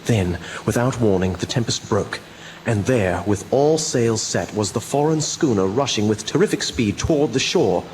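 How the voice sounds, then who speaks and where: Guy acting serious doctor reading out evidence, portentous radio drama narration, kinda low, bit of hiss. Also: animated, a little mellow, lightly acted, low-quality recording. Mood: grave, suspenseful. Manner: measured, portentous. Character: grave male doctor presenting evidence, reading aloud solemnly.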